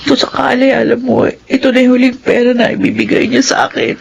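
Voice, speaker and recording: A woman's voice: fairly high pitch, flat delivery, a little fast, creaky, muffled recording, background noise. A Filipino woman playing an anxious, tearful single mother in a radio drama. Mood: worried.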